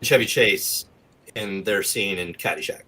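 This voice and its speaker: Bright-voiced man in casual conversation. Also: on the low side, a little slow, husky, poor audio. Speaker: guest actor and producer. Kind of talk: casual chat.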